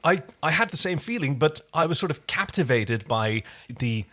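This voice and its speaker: A man's voice: a little high, lively intonation, moderate pace, bright, forceful tone. Man chatting casually as podcast co-host. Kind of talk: casual chat about a TV series episode.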